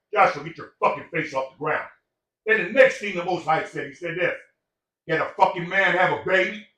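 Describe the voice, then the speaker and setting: A man's voice: on the high side, sharp tone, distant mic. Profane, preachy older man in an echoey room. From Samoan heritage. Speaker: podcast guest. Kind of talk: casual chat.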